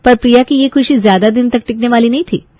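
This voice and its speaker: A woman's voice: on the high side, quick pace, darkish tone, no room sound, muffled recording. A woman narrating a scripted bedtime story as a storyteller.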